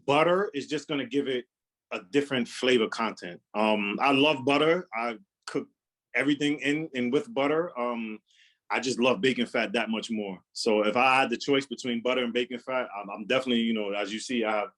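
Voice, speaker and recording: Brief: young male chef, loud voice, rambling, talks casually, mid pitch. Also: brisk, rough recording. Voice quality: loud, carrying voice. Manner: rambling, repeats words, many fillers. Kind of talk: casual live cooking demo Q&A.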